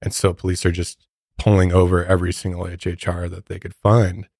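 A low male voice, recorded dry with very little room sound. He co-hosts a podcast, mixing conversational true-crime commentary with prepared facts. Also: monotone, brisk, a little mellow, no room sound. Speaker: podcast co-host.

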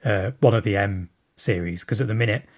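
A man's voice: fairly low pitch, brisk, darkish tone. A man sending a recorded question to a show, thinking aloud and rambling with plenty of fillers. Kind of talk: recorded listener message.

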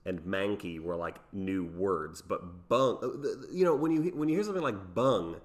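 A man's voice: low-pitched, expressive, normal speed. A man co-hosting a podcast in casual banter, joking and playing with words. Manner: joking with wordplay, playful. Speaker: podcast co-host.

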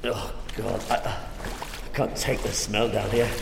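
A man's voice: fairly low pitch, level tone, slow, creaky, background noise, full character voice. A man playing a squeamish, whiny, anxious agent in an audio drama comedy, fretful and complaining. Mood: disgusted, complaining.

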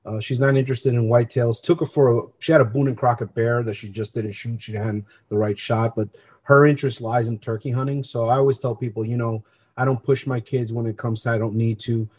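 A man's voice: mid-range voice, fairly even intonation, fairly quick, darkish tone, breathy edge. A middle-aged man from Colombia who grew up in New Jersey, talking earnestly and conversationally as an interview guest about himself. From born in Colombia, grew up in New Jersey. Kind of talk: conversational personal storytelling.

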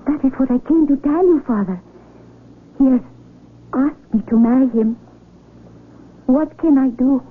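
A woman's voice: sits high, a little slow, pauses often. A woman acting in a 1970s radio drama as an earnest, devoted young daughter in love, respectful and pleading. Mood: anxious, confiding. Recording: muffled, noisy vintage AM radio recording.

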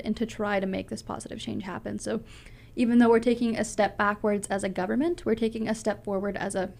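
A woman's voice: mid-range voice, gravelly, darkish tone. A young woman from Canada, a public speaker answering interview questions in an earnest, passionate way with a big voice. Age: young adult.

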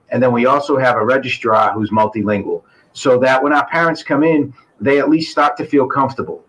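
Earnest husky-voiced male school administrator. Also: mid-range voice, low-quality recording. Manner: earnest, explanatory, lists points. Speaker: assistant superintendent. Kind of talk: interview guest, explaining.

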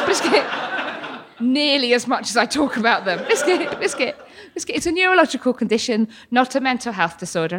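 British female live storyteller, thirties, high-pitched and very lively, playful delivery broken by frequent sudden verbal tics. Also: a little hiss or hum. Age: 30s. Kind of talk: comic live storytelling to an audience. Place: live storytelling event with an audience.

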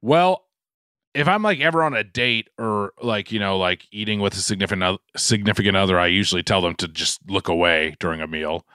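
A man's voice: low voice, brisk, sharp tone, clear, resonant tone, no room sound, studio quality. A man co-hosting a comedy food podcast, rambling with many restarts and lots of swearing. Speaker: podcast co-host. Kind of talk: casual comedic chat.

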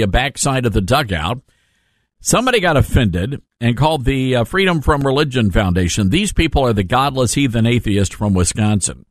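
A man's voice: medium pitch, dry recording, clean studio sound. A man hosting a conversational call-in radio show, with a sardonic, joking and emphatic way of talking. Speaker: radio talk show host.

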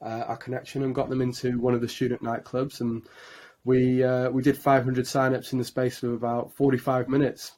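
Flat-voiced Yorkshire man with many fillers. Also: mid-range voice. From Yorkshire, England. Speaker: guest, marketing agency director. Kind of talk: podcast interview storytelling.

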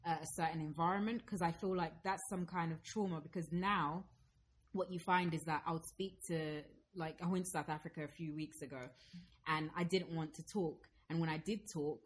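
A woman in her thirties from Zambia with an English accent, a guest telling her story in a lively way and acting out what people said. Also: low voice, normal speed, a little hiss or hum. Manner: lively storytelling, acts out quoted speech. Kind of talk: casual conversation.

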